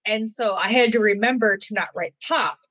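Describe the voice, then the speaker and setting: A woman's voice: mid-range voice, moderate pace, a bit bright, no echo. Chatty novelist guest, woman from Michigan, mid-range voice, dull, dry recording. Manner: chatty, many "like" fillers. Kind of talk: casual interview chat.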